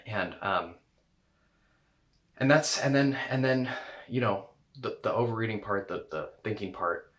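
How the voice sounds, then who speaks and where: A man's voice: fairly low pitch, measured pace, a bit bright. A man hosting and coaching on eating habits, talking loosely with fillers and restarts. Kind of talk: casual coaching talk to camera.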